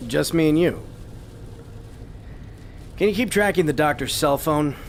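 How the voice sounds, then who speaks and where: An actor playing a seasoned former field agent, wary, serious and dryly exasperated, in an audio drama. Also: male voice, a little high, animated, unhurried, a little hiss or hum. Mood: decisive.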